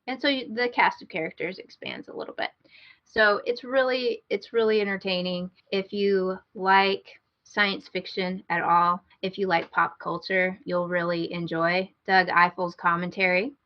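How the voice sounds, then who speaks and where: A woman's voice: mid-range voice, steady tone, unhurried. Woman podcaster just talking casually, kinda searching for words, repeats stuff to stress it, bit dull lofi sound. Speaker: podcast co-host giving a recommendation. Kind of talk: casual unscripted podcast chat.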